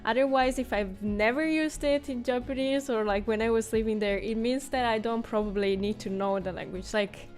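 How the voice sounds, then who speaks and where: A woman's voice: on the high side, normal speed, hardly any pauses, a little mellow, soft background music. A woman whose first language is Italian, co-hosting a casual chat and laughing easily. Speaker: co-host.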